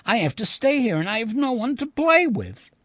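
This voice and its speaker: A man voicing the timid, plaintive ghost of a little girl in a storybook reading, childlike and halting in speech. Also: very high-pitched, very animated, fairly bright tone, dry recording, pitched above the performer's own voice, full character voice. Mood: shy. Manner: childlike, halting, stammering when upset.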